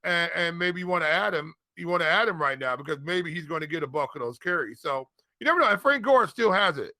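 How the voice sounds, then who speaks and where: A man's voice: a little high, animated, quick pace, bright, forceful tone, no echo. A man co-hosting a casual fantasy football show, talking colloquially and repeating phrases for emphasis. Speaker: fantasy football podcast co-host and analyst. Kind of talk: casual sports talk.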